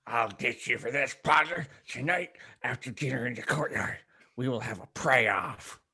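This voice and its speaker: A man's voice: mid-range voice, moderate pace, pushed and bright, husky, full character voice. A man voicing a sullen schoolboy bully in a comic fan fiction reading, with muttered, theatrical menace. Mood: menacing. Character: sullen schoolboy bully, Draco, vowing petty revenge.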